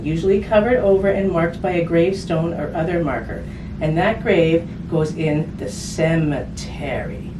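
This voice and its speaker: A woman reading a picture book aloud to children, addressing them directly and explaining things simply. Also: on the low side. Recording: distant mic, roomy echo, clear background noise.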